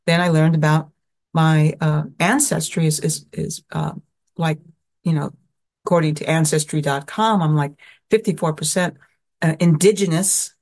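A woman from the American Southwest telling her personal recovery story in a halting, reflective way, with frequent restarts and fillers. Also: low voice, fairly even intonation, darkish tone.

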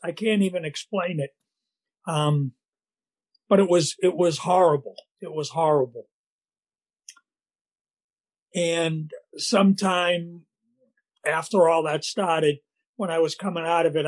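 Older man, Boston accent, fairly high voice, scratchy, halting, personal recovery story. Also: a little mellow. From Massachusetts, USA. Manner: halting, with many fillers and restarts. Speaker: speaker at a recovery meeting.

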